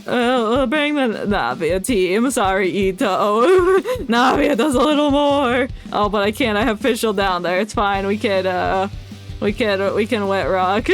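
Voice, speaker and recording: A woman's voice: mid-range voice, measured pace. A woman giving casual, rambling gameplay commentary, half muttering to herself as she plays. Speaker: game streamer. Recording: loud game music behind. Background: game music and combat sounds.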